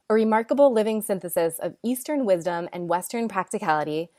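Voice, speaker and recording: A woman's voice: medium pitch, darkish tone. A woman hosting a podcast, reading a poetic intro and asking admiring questions. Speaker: podcast host. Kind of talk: scripted intro and interview.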